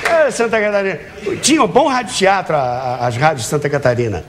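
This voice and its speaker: A man's voice: on the high side, quick pace. A Brazilian man talking as a talk show guest, telling anecdotes in a jovial way and acting out dialogue as he goes. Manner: jovial, acts out quoted dialogue. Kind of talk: animated anecdote telling to a host. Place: TV studio with audience. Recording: clearly noisy TV studio audio.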